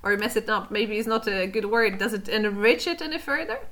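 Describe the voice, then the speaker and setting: A woman's voice: mid-range voice, animated, fairly quick, a bit bright. A woman hosting a podcast, asking probing questions and sometimes searching for the right words. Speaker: podcast host. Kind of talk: casual interview chat.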